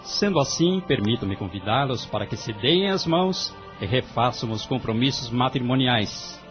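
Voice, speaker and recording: An actor playing a solemn, benevolent judge, speaking formally and ceremoniously in an audio drama. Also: male voice, medium pitch, animated, moderate pace, lightly acted. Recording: dull lo-fi audio, music underneath.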